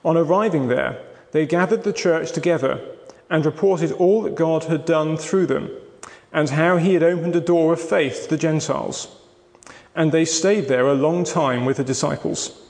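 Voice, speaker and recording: A man giving a steady, measured reading of scripture aloud in church. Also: fairly high pitch, creaky. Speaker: scripture reader. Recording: long hall reverb.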